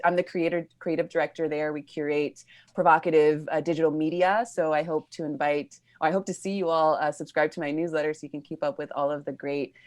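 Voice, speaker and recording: A woman's voice: low-pitched, dry recording, clean studio sound. An American woman introducing herself casually as a panelist, chatty and inclined to digress. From Los Angeles, United States. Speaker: panelist, interviewer.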